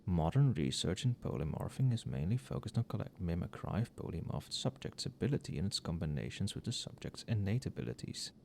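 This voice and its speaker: Male, acting as a friendly, conscientious professor a few drinks in; lowish soft voice, muttering, very varied intonation, for late-night ASMR roleplay. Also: very animated, brisk, creaky, hardly any pauses, slight background noise, heavily acted. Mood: muttering, absorbed. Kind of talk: casual ASMR roleplay, addressing an unheard colleague.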